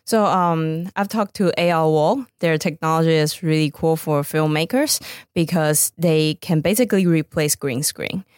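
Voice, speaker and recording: Low-pitched Taiwanese-accented woman, close dry sound. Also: normal speed, few pauses, darkish tone, smooth, no echo. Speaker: startup founder, podcast guest. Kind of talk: conversational interview answers.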